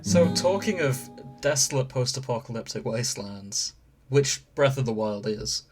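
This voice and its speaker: A man's voice: mid-range voice, lively intonation, normal speed, soft background music. A man with a British accent hosting a podcast in a casual, joking style. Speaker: podcast host. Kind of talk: casual comic banter.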